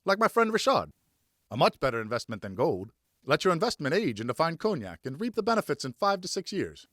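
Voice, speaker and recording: Guy acting as a smooth-talking grape grower in an audiobook, coaxing, pitch up and lively. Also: a little fast, no echo, clean studio sound. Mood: confident pitch. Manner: coaxing, salesmanlike. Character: persuasive male vineyard owner with gimpy legs. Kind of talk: audiobook character dialogue.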